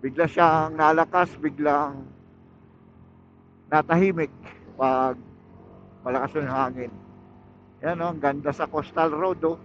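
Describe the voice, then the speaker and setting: A man's voice: on the high side, steady tone, measured pace, pauses often, a bit bright. A man chats casually and slangily to his viewers as a motovlogger, talking while he rides. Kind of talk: casual vlog chat while riding. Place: outdoors on a motorcycle at night. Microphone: intercom microphone inside a helmet. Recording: muffled helmet intercom mic, wind and engine noise. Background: vehicle and wind noise.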